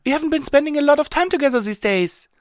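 A man voicing a bubbly, excitable young female cartoon pony, squeaky and exuberant. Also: fast talker, no echo, studio quality. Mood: dismayed, pleading.

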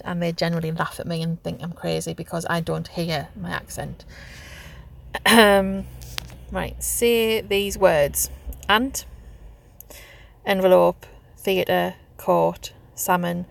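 A woman's voice: on the low side, vocal fry. A woman from Northeast England with a Northeast English accent, chatting casually in a car with lots of hesitant ums. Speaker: vlogger doing an accent challenge. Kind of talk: casual chat. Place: sitting in a car.

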